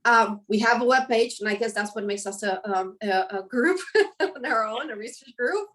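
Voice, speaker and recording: A woman's voice: a little high, moderate pace, fairly bright tone, distant mic. A woman in her forties from Romania with a Romanian accent, answering questions in a webinar interview with a hesitant delivery full of ums and restarts. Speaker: professor and guest.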